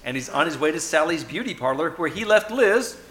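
Animated high-pitched man, old-time radio announcer. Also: a little fast, heavy background noise. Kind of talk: 1940s radio announcing, scripted narration. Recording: live show with loud music and crowd. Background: loud music and audience.

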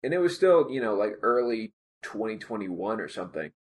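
A man's voice: on the low side, very animated, a little fast. A man co-hosting a podcast, chatting casually and telling a joking anecdote. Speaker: podcast co-host. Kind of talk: casual chat.

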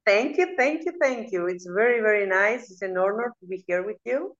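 A woman's voice: mid-range voice, animated, normal speed, dull audio. A Greek woman in her forties, a nutritionist, answering interview questions in English with a Greek accent and frequent hesitant fillers. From Greece. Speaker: guest, nutritionist. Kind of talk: casual interview talk.

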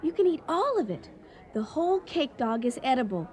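Scatterbrained female hostess, highish lively voice, nervous rambling, guileless, comedic radio drama. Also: normal speed, some chatter behind. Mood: chatty, excited.

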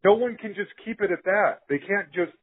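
A man's voice: high voice, moderate pace, slightly rough, narrow, tinny audio. A man hosting a casual, conversational podcast interview. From Salt Lake City, United States.

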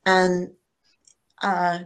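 An older woman speaking as a panelist in a casual online discussion, slow and thoughtful, often restarting her sentences. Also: fairly low pitch, monotone, clear, resonant tone. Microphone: video call.

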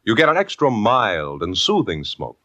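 Deep, swooping American radio announcer. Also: male voice, huge pitch swings, moderate pace, sharp tone, no echo. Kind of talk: dramatic 1950s radio announcing and sponsor pitch. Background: music.

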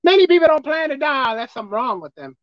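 Squeaky-voiced man preaching, swooping pitch. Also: big pitch swings, quick pace, dry recording, highs cut off. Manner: emphatic, pitch leaping up and down. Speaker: pastor. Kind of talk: preaching a sermon.

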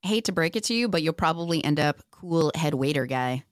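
A woman's voice: sits low, lively intonation, fairly quick, dry recording, studio quality. A woman reading the part of a wry, guarded young college woman in a script table read. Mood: teasing.

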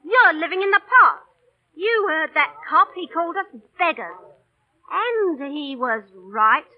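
Casting: young London street dancer, high-pitched, cockney, feisty and outspoken, vintage radio drama style on a dull-sounding recording. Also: female voice, animated, measured pace. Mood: fed up, scolding. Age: young adult. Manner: defiant, blunt. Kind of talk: 1940s radio drama acting.

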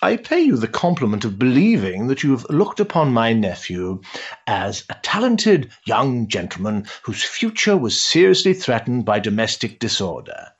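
An actor playing an urbane, cynical older aristocrat in a period stage drama, pompous and sardonic in ornate phrasing. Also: male voice, mid-range voice, big pitch swings, moderate pace, husky, dull audio. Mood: suave, condescending.

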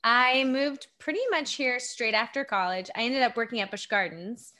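A woman's voice: a little high, normal speed, sharp tone. A woman in her thirties, raised in Japan, chatting as a guest on an interview show, chatty and self-deprecating with frequent laughs. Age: 30s. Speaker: guest, actor and filmmaker. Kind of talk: casual interview chat.

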